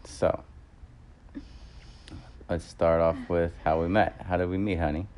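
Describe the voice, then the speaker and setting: A man's voice: sits low, little pitch movement, a little slow, darkish tone, clear, resonant tone. A man in his thirties co-hosting a casual podcast with his partner, talking in a rambling way with many fillers and self-corrections. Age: 30s. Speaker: podcast co-host. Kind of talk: casual couple chat.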